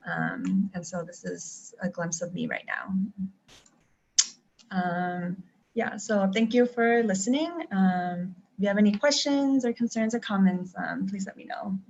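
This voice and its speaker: A woman's voice: mid-range voice, unhurried, vocal fry, darkish tone. A young woman chatting casually on a live video call, with lots of ums and restarts. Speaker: traveling teacher and guest. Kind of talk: live-stream video chat. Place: home in Taiwan. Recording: dull lo-fi video call audio.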